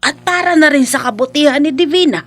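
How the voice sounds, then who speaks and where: A woman's voice: sits high, fairly quick, close to an everyday voice. A woman playing a worried, pleading mother of a grown son in a Filipino radio drama. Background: faint music.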